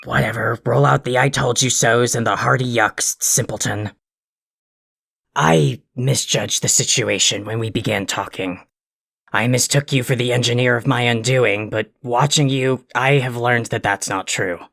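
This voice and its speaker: An actor playing an irritable, condescending troll in an audio drama, with a gravelly, abrasive delivery. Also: medium pitch, moderate pace, fairly bright tone, no room sound, clean studio sound. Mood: grudging, curt. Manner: abrasive, condescending, wordy insults. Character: irritable insulting troll boy apologizing grudgingly.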